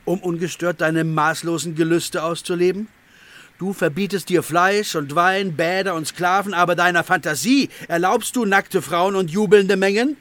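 An actor in a radio drama playing a shrunken, sly tempter who poses as a former pupil, speaking with insinuating, reproachful persuasion. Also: male voice, high-pitched, moderate pace, a bit bright. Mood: mocking, accusing. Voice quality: husky.

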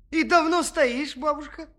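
An actor playing a good-natured, carefree young peasant son in a children's audio play, speaking in a cheerful, easygoing way. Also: male voice, extremely high pitch, pushed and bright, full character voice.

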